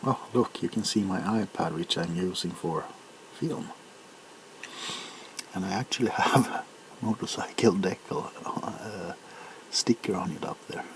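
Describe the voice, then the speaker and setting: A man hosting a casual, rambling ASMR video, talking in a halting, unhurried way while he handles and taps items. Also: deep voice, low-quality recording. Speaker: video host showing items. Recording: clear background noise with clicks. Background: tapping, clicking and crinkling of packaging.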